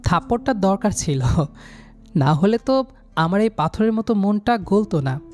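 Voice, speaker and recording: A man's voice: sits high, animated, normal speed, a little mellow. A man narrating a romantic story in the first person, dramatically, with an earnest and emotional delivery. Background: background music.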